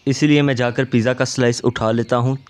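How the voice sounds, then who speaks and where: A man's voice: medium pitch, fairly even intonation, fast talker, smooth, natural voice. Man voicing a theatrical male cartoon bird. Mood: scheming. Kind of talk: Hindi children's cartoon voice acting.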